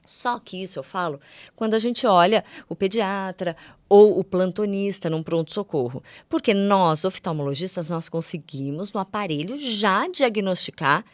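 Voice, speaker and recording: Woman, Brazilian accent, mid-pitched voice, guest medical expert, conversational, didactic, emphatic repetition, dry recording. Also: normal speed, no echo. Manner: didactic, repeats words for emphasis; uses affectionate diminutives. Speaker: guest ophthalmologist. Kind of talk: conversational medical explanation for lay audience.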